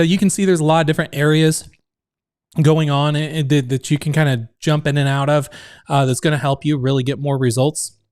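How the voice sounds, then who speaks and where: A man's voice: fairly high pitch, a little mellow, no echo, studio quality. A man in his thirties, a community founder, hosting a casual livestream from his home office, talking in a chatty way with many fillers while his voice sounds strained, as if about to give out. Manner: chatty, many fillers, reads out chat comments. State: voice strained, close to losing it.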